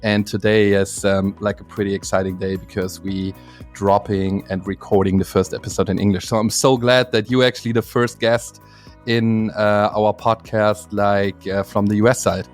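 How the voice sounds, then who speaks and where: A man with a German accent, a native German speaker, hosting a casual podcast in halting, enthusiastic English. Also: on the low side, little pitch movement, faint music behind. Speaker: podcast host. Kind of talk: casual interview talk.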